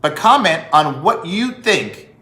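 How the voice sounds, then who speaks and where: Emphatic, slow-paced man in echoey room. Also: a little high, sing-song, sharp tone. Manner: emphatic, with rhetorical questions and deliberate pauses. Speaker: vlog host. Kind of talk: opinion monologue to viewers.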